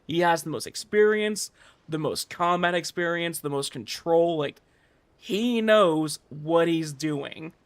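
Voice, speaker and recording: A man's voice: a little high, takes it slowly, clean tone, dry recording. A man chatting casually as a livestream host, rambling in informal gamer slang and acting out imagined quotes. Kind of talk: casual chat while streaming a game.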